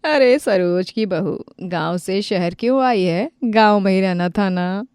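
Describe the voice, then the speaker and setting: A woman's voice: fairly low pitch, lively intonation, normal speed, a little mellow, clear, resonant tone, dry recording, clean studio sound. A woman voicing a middle-aged neighbor who taunts and sneers. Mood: mocking.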